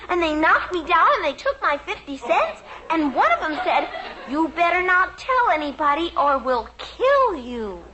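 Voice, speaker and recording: Very high-pitched fibbing little girl. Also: big pitch swings, moderate pace. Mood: earnest, plaintive. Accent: American. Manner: plaintive, childlike. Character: little girl, earnest, evasive fibbing daughter. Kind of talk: 1950s radio sitcom acting. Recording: old radio recording, faint noise.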